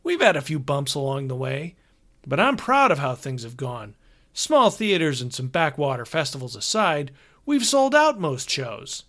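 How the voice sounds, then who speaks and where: A man's voice: on the high side, very animated, normal speed, a bit bright. A man voicing a pushy, upbeat older road manager who is brash and dismissive. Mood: reassuring.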